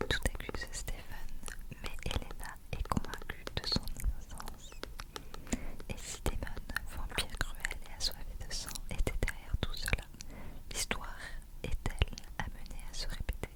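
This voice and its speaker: A woman speaking in a soft, breathy, unvoiced whisper in an intimate ASMR reading style. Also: unhurried. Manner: soft, hushed, intimate delivery. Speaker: ASMR content creator reading a book aloud.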